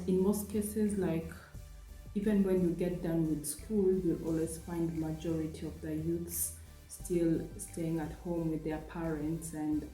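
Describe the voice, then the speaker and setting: A woman's voice: on the low side, mellow. A young woman from Uganda chatting casually in a friendly, rambling way as a vlogger. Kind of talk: casual vlog talk. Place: apartment room. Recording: echoey room, faint music.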